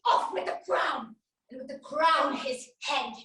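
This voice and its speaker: High-pitched, vengeful warrior queen. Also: bright, forceful tone. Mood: scornful, vengeful. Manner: theatrical, taunting, drawn-out delivery with swings. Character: vengeful, mocking warrior queen taunting a captive. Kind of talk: classical Shakespearean stage monologue. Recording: echoey room, distant microphone.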